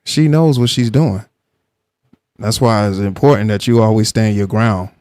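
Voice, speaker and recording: A man's voice: fairly low pitch, moderate pace, darkish tone, no echo, studio quality. A man hosting a live stream, giving commentary and arguing with a caller in a blunt, insistent way that repeats points. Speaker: livestream host.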